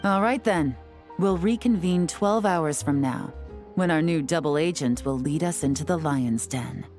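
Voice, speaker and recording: A woman voicing a composed female secret agent in a video game, who speaks in a calm, measured and confident way and gives orders crisply. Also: low-pitched. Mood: commanding. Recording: game audio with faint music underneath.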